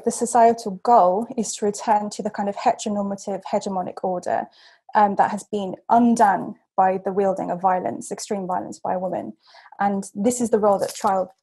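A young woman presenting an academic paper over a video call, measured and careful, with frequent ums and self-corrections. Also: mid-range voice, vocal fry, mellow, slightly rough. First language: English. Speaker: seminar speaker.

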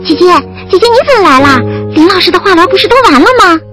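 A woman voicing an excitable young junior colleague in an audio drama, gushing and stuttering breathlessly. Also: very high-pitched, quick pace, music in the background. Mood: excited.

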